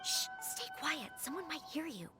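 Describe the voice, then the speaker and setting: A woman's voice: very high voice, wildly swooping pitch, moderate pace, music in the background, full character voice. A woman voicing a cautious, gossiping townswoman in a video game. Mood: wary.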